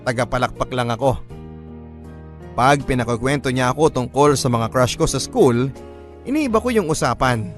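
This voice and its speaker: A man's voice: mid-range voice, expressive, moderate pace, long pauses, faint music behind, close to an everyday voice. A man playing an introspective young son who narrates his questions and doubts in a radio drama. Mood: pensive, questioning. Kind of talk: first-person radio drama narration in Filipino.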